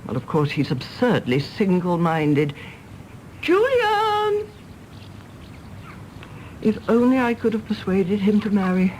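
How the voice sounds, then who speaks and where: A woman's voice: medium pitch, huge pitch swings, slow, long pauses, darkish tone, slight background noise, low-quality recording. An actress playing an outspoken elderly English mother, emphatic and genteel, affectionate but exasperated, in British radio drama style. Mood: indignant, brisk. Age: older.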